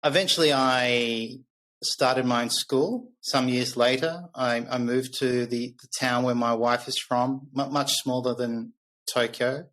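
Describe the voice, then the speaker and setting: A man's voice: mid-range voice, steady tone, a little slow, fairly bright tone, rough recording. A middle-aged man with an Australian accent telling personal stories conversationally as a podcast guest, candid and reflective.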